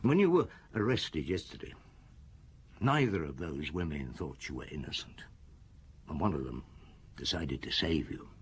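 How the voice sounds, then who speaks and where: An actor playing a shrewd middle-aged police chief in a radio drama, interrogating a suspect in an unhurried, cutting way with a husky voice. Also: male voice, rumbling low, sing-song, heavily acted. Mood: probing.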